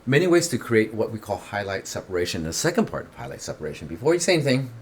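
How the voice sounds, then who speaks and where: A man in casual instructional chat, explaining his film gear step by step with lively, teasing asides. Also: on the low side, quick pace, breathy edge.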